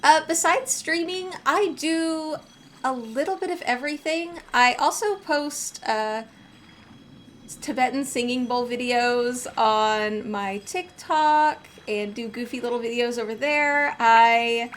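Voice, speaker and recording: A woman's voice: fairly high pitch, slight background noise. A woman chatting casually and enthusiastically as she comments on a game during a live stream. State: getting over a cold.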